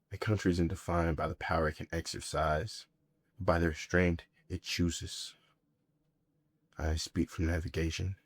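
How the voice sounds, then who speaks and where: Deep-voiced man delivering a reflective monologue. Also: dry recording. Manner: measured, deliberate, reflective, with short weighted phrases. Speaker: monologue performer. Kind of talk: scripted spoken-word monologue.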